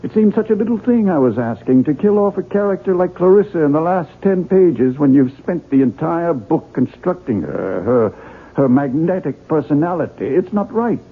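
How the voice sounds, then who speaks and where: An actor playing an avuncular older publisher in a 1970s radio drama, genteel and patronising, with a husky, gravelly voice. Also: male voice, a little high, animated, moderate pace, phone-quality sound. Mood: reproachful. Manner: genteel, patronising, theatrically emphatic. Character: avuncular, reasonable older male publisher, mildly exasperated.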